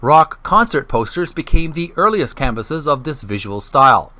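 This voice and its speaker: Male gaming vlogger, animated intonation, bright tone, hesitant delivery, casual presenting and read-aloud. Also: fairly high pitch, normal speed, no echo. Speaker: host of a video gaming channel. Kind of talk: casual vlog intro and reading aloud from a book.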